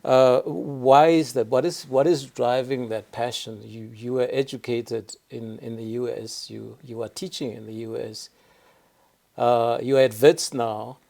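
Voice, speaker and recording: Gravelly, mellow-toned male interviewer. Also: mid-range voice, steady tone, unhurried. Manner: hesitant, repeats words while asking. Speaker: interview host. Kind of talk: interview questions.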